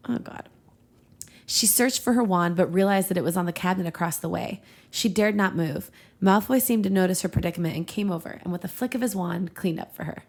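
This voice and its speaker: A woman's voice: lowish voice, fairly quick, a little mellow. A young woman hosting a podcast, reading fan fiction aloud with casual asides. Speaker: podcast host and reader.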